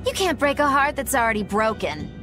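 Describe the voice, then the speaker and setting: A woman's voice: a little high, sing-song, fairly quick, over music. A woman playing a cool, sardonic, scheming witch with a wry, theatrical delivery in a game cutscene. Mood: cool defiance. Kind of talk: dramatic game cutscene acting.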